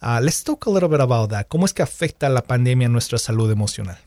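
A man's voice: medium pitch, expressive, quick pace, darkish tone, no room sound, studio quality. A man hosting an interview, reading a scripted introduction and then asking questions in two languages in turn. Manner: switches between Spanish and English, repeats questions in both. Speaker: host. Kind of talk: bilingual radio interview.

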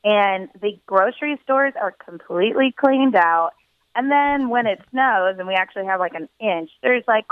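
Young female singer guest, chatty and giggly, clear voice heard over a tinny phone line. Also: medium pitch, no room sound. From Pennsylvania. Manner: chatty, giggly, many restarts. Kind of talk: casual phone interview. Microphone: telephone.

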